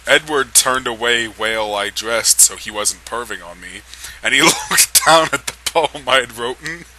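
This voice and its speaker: A man's voice: medium pitch, normal speed, pushed and bright, a little hiss or hum, low-quality recording. A man giving a dramatic reading of amateur fan fiction, amused and often struggling to keep from laughing. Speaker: reader of a comedic fan fiction read-aloud.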